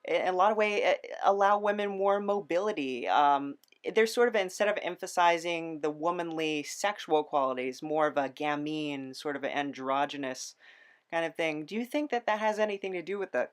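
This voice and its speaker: A woman's voice: sits low, normal speed, smooth. A woman hosting a conversational podcast interview, thoughtful and a little hesitant as she forms her questions.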